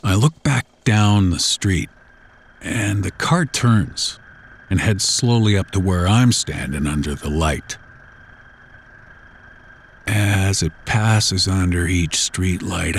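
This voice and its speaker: A man tells a first-person horror story in a casual, folksy, unhurried, conversational way, like someone recounting his own memories. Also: low-pitched, slight background noise. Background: background music.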